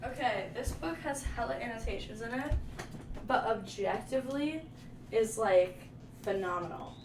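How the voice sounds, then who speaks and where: Young woman vlogger, rambling casual chat, slightly high voice, faint background noise. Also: animated, normal speed. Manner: rambling, lots of "like", informal slang. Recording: echoey room, mic at a distance, faint noise.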